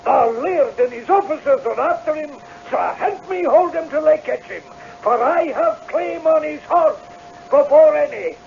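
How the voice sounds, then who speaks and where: A shrill, gravelly, belligerent publican. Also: male voice, heavily acted. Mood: scornful, accusing. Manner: loud, scornful, drawn-out denouncing. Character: belligerent pub keeper, a creditor turned accuser. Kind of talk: old-time radio drama acting. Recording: dull, faintly noisy vintage radio recording.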